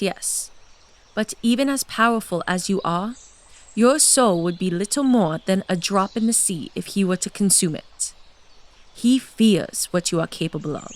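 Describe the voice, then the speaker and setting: A woman's voice: mid-range voice, lively intonation, measured pace, close to an everyday voice. A woman playing a silky centuries-old blood queen. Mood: conspiratorial. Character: ageless vampire queen, seductive, scheming. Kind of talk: full cast audio drama.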